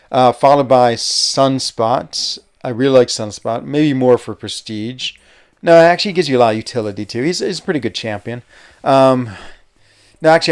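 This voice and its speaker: Smooth-voiced American man streaming casually. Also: mid-range voice. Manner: rambling, chatty, hesitant with fillers. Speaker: gaming livestream host. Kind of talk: casual live-stream chat while playing.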